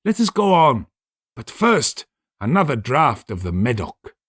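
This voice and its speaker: A man's voice: mid-range voice, big pitch swings, unhurried, a bit bright, slightly rough, no room sound, voice pushed up, heavily acted. A haughty, tipsy nobleman acted out by a male narrator in a classic story reading. His voice jumps well above the reader's own and swings widely, with boastful, dismissive exclamations. The sound is dry and close. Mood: impatient. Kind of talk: dramatic audiobook character reading.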